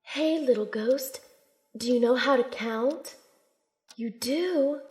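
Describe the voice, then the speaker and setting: A woman performs a young shaman heroine in an audio drama. She has a fairly high voice and tells her own story as narrator. She comes across as plucky, resourceful and kind. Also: takes it slowly, a little mellow. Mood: gentle, playful. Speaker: narrator and protagonist. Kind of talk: first-person audio drama narration and acting.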